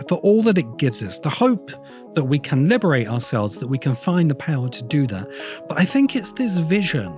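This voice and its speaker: A man delivering a reflective, semi-improvised spoken essay as a host, with deliberate, halting phrasing and emphatic repeats. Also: medium pitch, a little mellow. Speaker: host of a science fiction video essay podcast.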